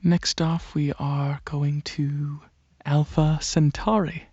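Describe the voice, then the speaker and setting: A man playing a gentle dragon alien prince in a soft-spoken ASMR roleplay, talking to the listener in a hesitant, soothing way with many pauses. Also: a little high, little pitch movement, husky, dry recording, muffled recording, exaggerated voice. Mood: pleased, reassuring. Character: gentle dragon alien prince, centuries-old royal researcher, softly coaxing.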